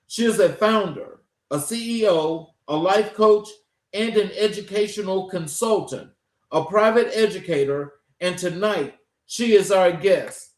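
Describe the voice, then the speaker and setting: A man hosting an interview show, speaking in deliberate, oratorical phrases. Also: high-pitched. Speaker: show host. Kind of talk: scripted introduction and interview hosting. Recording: roomy, echoing sound.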